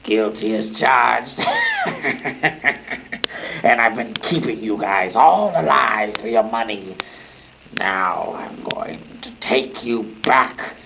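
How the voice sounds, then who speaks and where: An actor playing a sadistic, vengeful inventor in an old-time radio drama, menacing and theatrically gloating. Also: male voice, on the low side, slow pace, vocal fry, long pauses, noisy background.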